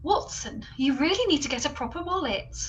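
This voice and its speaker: Earnest adventuress, female actor, radio comedy: high, formal delivery, slightly distant pickup. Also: expressive, fairly quick, fairly bright tone, lo-fi sound. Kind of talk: comic radio drama acting. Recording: noisy, distant from the mic.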